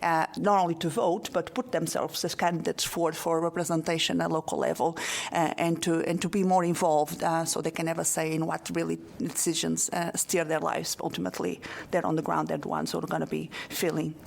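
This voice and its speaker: A woman speaks on a discussion panel, her low voice carrying a gravelly fry. She talks earnestly, pausing on fillers and restarting her sentences as she works out her point. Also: little pitch movement, a little mellow. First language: Portuguese. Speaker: local councillor on a panel.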